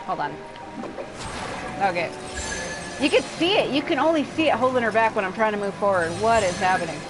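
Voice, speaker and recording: A woman gives casual commentary over her gameplay, with music clearly audible behind her. Her voice sits at an average pitch for a woman. She rambles and grumbles bluntly, with plenty of swearing. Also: expressive, brisk. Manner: rambling, complaining. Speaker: livestreamer playing a video game.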